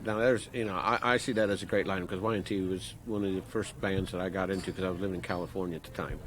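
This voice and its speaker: A man's voice: sits low, fairly even intonation, fast. A man casually interviewing a band, joking and rambling as he goes.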